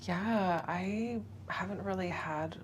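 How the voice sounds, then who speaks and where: A woman playing a patient in a quiet ASMR roleplay, talking softly and hesitantly and trailing off into whispers. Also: mid-range voice, slow. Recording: clear background noise.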